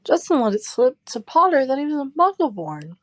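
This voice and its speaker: Woman acting an animated, high-pitched gossipy schoolchild. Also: brisk, no room sound. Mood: insistent, suspicious. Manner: insistent, gossipy, emphatic. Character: gossipy, insistent young schoolchild convinced of rumours. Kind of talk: audio drama character acting.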